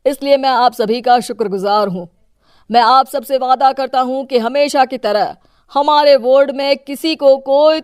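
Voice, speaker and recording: A woman's voice: fairly high pitch, clean tone, no echo, clean studio sound, natural voice. A woman voicing a domineering, corrupt, self-important husband and politician in a cartoon moral story.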